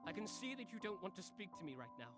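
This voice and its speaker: Visual novel role: melancholy undead husband played by a woman, pleading, very animated pitch, prominent piano underscore. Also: fast. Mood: sorrowful. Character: anguished dead husband, wounded and pleading. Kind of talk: dramatic visual novel voice acting. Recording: soft voice under loud piano music.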